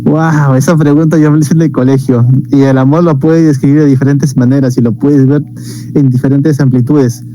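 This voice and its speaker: A man with a mellow, warm voice. He speaks thoughtfully and likes to explain cultural curiosities in casual conversation. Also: mid-range voice, flat delivery, fairly quick, slight background noise, low-quality recording. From Peru. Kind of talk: casual video chat.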